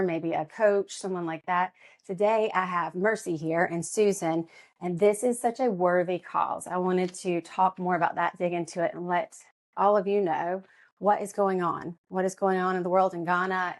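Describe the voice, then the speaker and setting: A woman hosting a podcast interview, introducing herself and her guests in a friendly, chatty way. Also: fairly low pitch. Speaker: podcast host.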